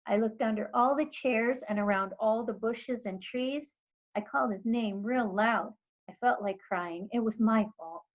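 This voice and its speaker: A woman teacher reading a children's storybook aloud in expressive narration. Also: on the high side, measured pace, muffled recording.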